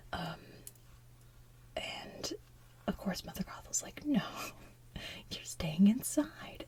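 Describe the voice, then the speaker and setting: Whispering woman in soft ASMR chat. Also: mid-range voice. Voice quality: breathy whisper. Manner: rambling, gushing fan talk. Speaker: ASMR channel host.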